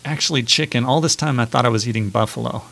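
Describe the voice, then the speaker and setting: A man's voice: medium pitch, fast talker, vocal fry, a bit bright. A man hosting a live radio interview, asking questions in a playful, joking way. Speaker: radio host.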